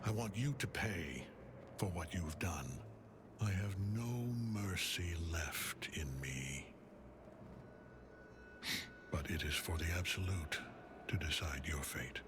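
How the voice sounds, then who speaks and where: An actor playing a bitter, grieving older father and menacing cult general in a video game cutscene, solemn and deliberate, at times breathy. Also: low voice. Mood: cold, bitter menace. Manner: solemn, deliberate storyteller, dropping into breathy near-whispers. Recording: music under the voice.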